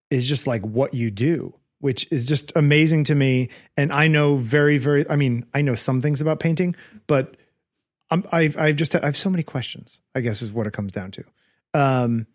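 A man in his forties from Connecticut hosting a podcast, asking curious, rambling questions full of fillers. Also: fairly high pitch. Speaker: podcast host. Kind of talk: casual interview chat. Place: painting studio indoors.

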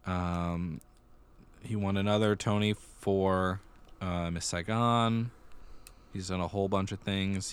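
A man's voice: lowish voice, clean tone, no echo. A man co-hosting a podcast, chatting casually and conversationally, often repeating a phrase for emphasis. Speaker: podcast co-host. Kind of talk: casual chat about musical theater and pop culture.

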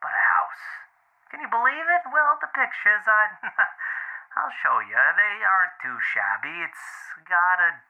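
An actor playing an anxious, wisecracking, foul-mouthed boyfriend, rambling and sardonic in a found-footage audio drama. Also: male voice, sits high, huge pitch swings, measured pace, dull audio, full character voice. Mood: wry, excited.

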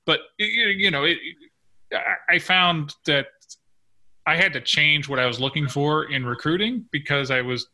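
A man's voice: fairly high pitch, normal speed, sharp tone. A man co-hosting a podcast, talking casually and reflectively about working life. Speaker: podcast co-host. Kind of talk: casual workplace discussion.

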